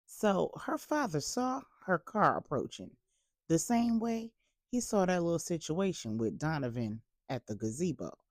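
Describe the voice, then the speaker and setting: A woman storyteller giving a deliberate, emphatic, dramatic narration of a bedtime story for grown-ups. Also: on the low side, expressive, no echo, clean studio sound.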